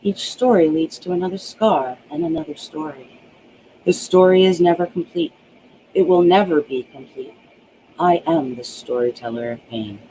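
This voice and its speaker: A woman voicing a haunted, empty middle-aged asylum patient in a storybook reading, reciting slowly like an incantation. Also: sits low, mellow, a little hiss or hum, close to an everyday voice, rough recording. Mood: haunted, bleak.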